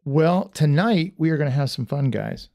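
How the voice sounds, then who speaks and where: A man's voice: on the high side, lively intonation, moderate pace, vocal fry, mellow. A man hosting a casual livestream podcast, rambling and self-deprecating with lots of restarts. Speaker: podcast host.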